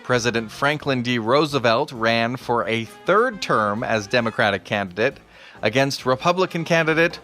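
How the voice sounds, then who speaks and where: A man's voice: mid-range voice, normal speed, bright, forceful tone, soft background music. A man hosting a podcast with scripted, explanatory narration. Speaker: podcast host.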